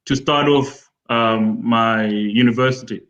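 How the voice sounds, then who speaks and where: A man from Zimbabwe in his forties, a football development official, explaining his career at a measured pace in a live online interview, with frequent fillers. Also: medium pitch, steady tone, a bit bright, lo-fi sound. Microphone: video call.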